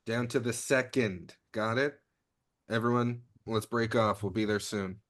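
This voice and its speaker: A man's voice: fairly low pitch, fairly even intonation, measured pace, a bit bright. A man playing an earnest mission planner on a heist crew in a comedy table read. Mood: urgent, earnest.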